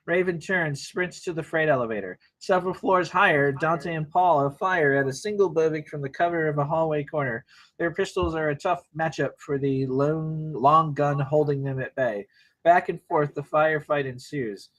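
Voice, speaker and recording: Male narrator, slightly high register, reading action lines for an informal screenplay table read. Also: normal speed, a bit bright, rough recording.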